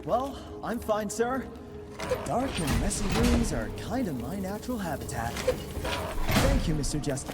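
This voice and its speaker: A jittery young genius engineer in a game cutscene, his lively voice leaping up and down as he rambles and stammers. Loud music plays behind him. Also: high voice, unhurried. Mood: nervous. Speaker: inventor character in a video game.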